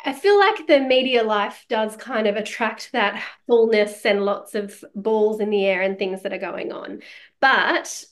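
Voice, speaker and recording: A woman's voice: mid-range voice, a little slow. A woman with an Australian accent, chatting informally as a podcast host. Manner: informal, conversational. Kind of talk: casual chat.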